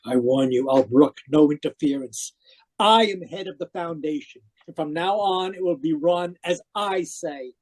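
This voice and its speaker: An actor playing an obsessive, domineering uncle in an old-style radio mystery, with theatrical, emphatic delivery. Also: male voice, on the high side, moderate pace, natural voice. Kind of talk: 1940s radio mystery acting, narrating and dialogue. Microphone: video call. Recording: muffled-edged online video call.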